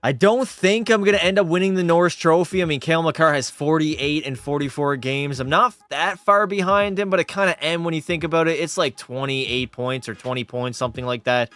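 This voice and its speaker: A man's voice: a little high, fairly even intonation, fairly quick, few pauses, a bit bright, dry recording. Profane young Canadian man streaming games. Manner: loose, profane banter, reading chat aloud. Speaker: gaming streamer. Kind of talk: casual live-stream commentary.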